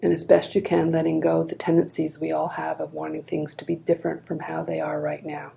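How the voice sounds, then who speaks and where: A woman leading a guided body scan meditation in a calm, gentle, unhurried manner. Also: deep voice, vocal fry, poor audio.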